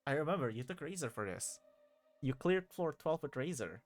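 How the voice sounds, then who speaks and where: A man's voice: a little high, lively intonation, moderate pace, faint music behind. A man chatting casually as a game streamer, often repeating and rephrasing himself. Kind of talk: casual gaming chat.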